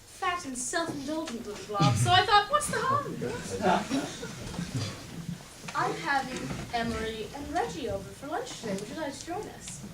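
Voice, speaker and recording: A woman's voice: high-pitched, sing-song, unhurried. A woman playing a bustling, self-assured older neighbour in a stage play, chatty and kindly bossy. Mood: chatty, fussy. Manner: chatty, fussy. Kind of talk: theatrical stage acting. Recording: echoey stage, distant mic, loud noise.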